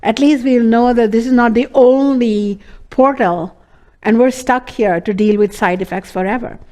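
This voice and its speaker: A woman's voice: a little high, normal speed, a little mellow. A woman with an Indian accent teaching a class, telling a case study in an earnest way with many fillers. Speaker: Ayurveda teacher. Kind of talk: lecture to students.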